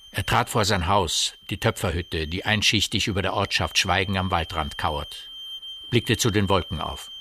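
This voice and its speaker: An actor playing a storyteller in a radio drama, narrating eerie village events in a deliberate, vivid way that addresses the listener. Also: male voice, on the low side, noisy background, close to an everyday voice.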